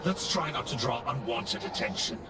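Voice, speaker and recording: An actor playing a stoic, world-weary undead knight commander in a fantasy game, giving solemn, formal military orders. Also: male voice, medium pitch, very animated, moderate pace, creaky, over loud music. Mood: grim, solemn. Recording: game audio under loud battle ambience, distant.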